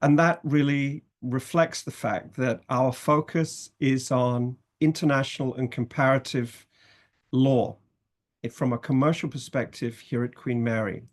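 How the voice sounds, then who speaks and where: British guy, law professor giving a programme talk, middle-aged, measured with some ums. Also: medium pitch, steady tone. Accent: British English. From Britain, family from Scotland, raised in England. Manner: speaks in measured phrases with fillers. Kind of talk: presenting a university programme.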